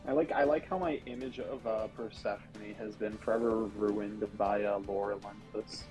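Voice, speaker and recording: A man's voice: on the low side, steady tone, moderate pace, darkish tone, soft background music, low-quality recording. A man co-hosting a casual livestream chat for an indie animation team, repeating words as he talks and slipping in playful plugs for the show. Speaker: indie animation team member, stream co-host.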